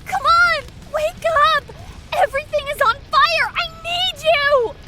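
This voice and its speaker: A woman's voice: very high voice, unhurried, over loud music. A woman playing a frightened, urgent young captive in a fantasy audio drama, with a frantic, strained delivery. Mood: panicked.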